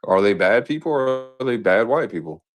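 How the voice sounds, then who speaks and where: A man's voice: on the low side, lively intonation, fairly quick. A man from Houston with a Texas accent, a caller who pushes provocative, leading questions.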